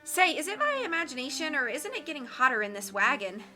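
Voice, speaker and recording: A woman's voice: high voice, big pitch swings, fast, pushed and bright, soft background music, full character voice. A woman reading aloud, voicing a giggly, nervous young woman friend who talks in a fretful, chatty way.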